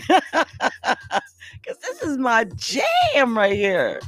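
Hoarse giggly astrology vlogger. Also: sits high, wildly swooping pitch, extremely slow, a bit bright, faint music behind. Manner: giggly, rambling, playful. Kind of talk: casual astrology chat.

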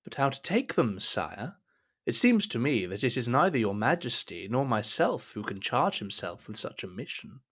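A man's voice: mid-range voice, very animated, moderate pace, a bit bright, breathy edge, full character voice. An actor playing a scheming, deferential cardinal advising a king in a period audio drama, with a formal, insinuating, courtly manner. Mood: measured, sly.

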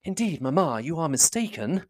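Witty young woman, voiced by a narrator, slightly lifted lively pitch, poised, frank, polite, period novel. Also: a little fast, no echo, lightly acted. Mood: embarrassed, correcting.

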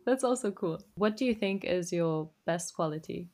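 A woman hosts an interview, putting her questions in an upbeat, encouraging way. Her voice sits a little low for a woman. She responds warmly to each answer before moving on. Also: lively intonation, normal speed.

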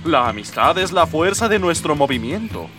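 Earnest high-voiced rebel prince. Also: male voice, sing-song, normal speed, far from the mic, music in the background. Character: idealistic young rebel prince, earnest and exasperated. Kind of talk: radio drama acting.

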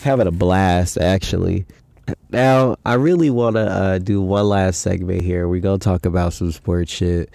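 A man's voice: deep voice, fairly even intonation, normal speed, creaky, warm tone, dry recording. A young man hosting a casual solo podcast, talking in a loose, rambling, slangy way with a stuffy nose from a head cold. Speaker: podcast host.

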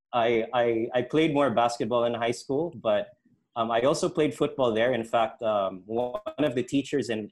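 A man's voice: medium pitch, darkish tone. A middle-aged man talking casually as an interview guest, explaining and recounting his own experiences. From Indonesia, Hong Kong and the Philippines. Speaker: interview guest, sports programme organiser and futsal official.

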